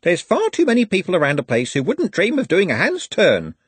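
A man's voice: high voice, huge pitch swings, fairly quick, breathy edge, no room sound, pitched above the performer's own voice, exaggerated voice. A man voicing a gossipy older lady. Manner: gossipy and emphatic. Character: chatty, gossipy older woman eating sweets.

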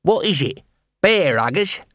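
A man's voice: high voice, sing-song, a little slow, a bit bright, dry recording, voice pushed up, heavily acted. A man voices a scruffy watch corporal from an audiobook. He is cheeky and offhand, talking colloquially in a dry recording. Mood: curious.

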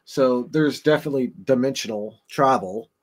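A man guesting on a podcast, explaining his ideas in casual chat with halting, stammering starts. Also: mid-range voice.

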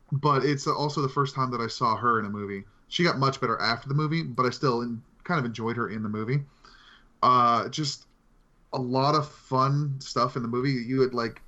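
Male co-host, mid-pitched and bright, informal film chat, slightly muffled lo-fi recording. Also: normal speed. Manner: informal, conversational. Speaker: podcast co-host. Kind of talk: casual film discussion among friends. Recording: slightly dull lo-fi mic.

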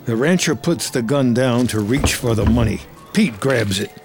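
A man narrating scripted drama action in measured, terse storytelling. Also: medium pitch, animated, music in the background.

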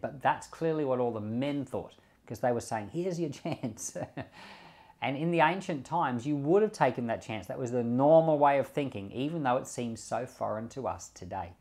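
A man's voice: medium pitch, a little mellow. Pastor, male, mid-range voice, talks scripture through casually and leads a closing prayer. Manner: conversational, repeats words while thinking, light chuckles. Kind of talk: bible commentary and closing prayer.

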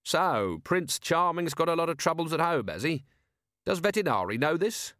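A man's voice: a little high, sing-song, normal speed, a bit bright, dry recording, pitched above the performer's own voice. A man voicing a weary, sardonic middle-aged male commander with dry, sarcastic remarks.

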